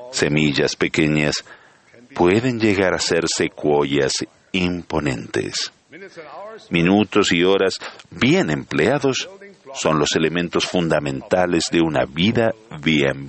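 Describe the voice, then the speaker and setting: Brief: male preacher, very deep voice, solemn and measured. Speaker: church leader giving a sermon. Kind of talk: sermon.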